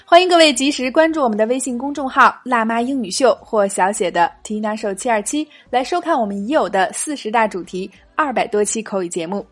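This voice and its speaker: A woman hosting a short language lesson in a clear, upbeat teaching voice, repeating the key phrases. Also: fairly high pitch, expressive, fast talker, hardly any pauses. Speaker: English teacher and show host. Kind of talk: scripted lesson narration. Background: faint background music.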